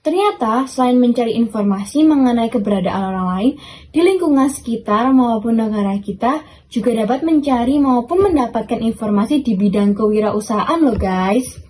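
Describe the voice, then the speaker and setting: A woman's voice: fairly high pitch, animated, normal speed, darkish tone, slight background noise. A teenage girl on a student podcast, speaking casually to listeners. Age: teens. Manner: casual, addresses listeners as guys. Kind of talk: school podcast presentation.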